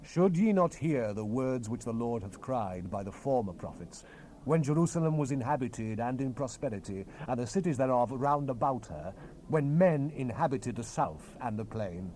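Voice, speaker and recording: A man's voice: mid-range voice, animated, normal speed, dark tone, natural voice. Man voicing a solemn, wide-ranging prophet on hissy tape. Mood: stern questioning. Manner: grave, declamatory, emphatic phrasing. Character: solemn, commanding male Hebrew prophet relaying God's word. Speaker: scripture narrator. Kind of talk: dramatized Bible reading. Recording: hissy unprocessed cassette tape rip.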